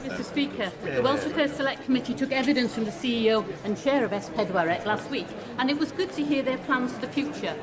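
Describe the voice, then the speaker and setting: A woman with a high voice chairs a committee and asks her formal question in a composed way. The chamber echoes, and a crowd murmurs behind her. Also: quick pace, few pauses. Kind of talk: parliamentary question.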